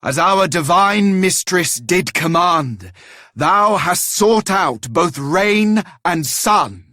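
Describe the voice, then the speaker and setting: An actor playing a solemn holy guardian in a video game, declaiming gravely in measured archaic speech. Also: male voice, high-pitched, lively intonation, sharp tone, dry recording, clean studio sound, full character voice. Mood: solemn, commanding. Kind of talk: theatrical video game voice acting.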